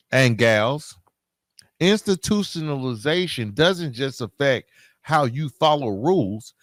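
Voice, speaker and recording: An American man delivers a conversational podcast monologue straight to his listeners. His pitch moves freely around a middle register, and he leans on streetwise phrasing, repetition and rhetorical questions. The sound is dry, with very little room in it. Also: dry recording. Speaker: podcast host.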